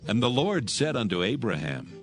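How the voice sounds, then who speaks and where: A man narrating scripture from a script in a measured, solemn manner. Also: mid-range voice, big pitch swings. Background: soft music.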